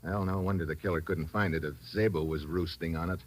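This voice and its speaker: A tough American adventurer with a lowish voice. He is curt, sardonic and hard-boiled, delivered in the manner of 1940s radio drama. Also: fairly even intonation, quick pace. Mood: curt, commanding. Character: tough, wisecracking American male adventurer and investigator.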